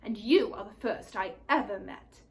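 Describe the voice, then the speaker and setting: A woman voicing a weepy, guilt-ridden male fawn in a storybook, with sobbing, drawn-out, self-reproaching speech. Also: medium pitch, lightly acted. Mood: fearful despair. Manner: sobbing, wailing, drawn-out words.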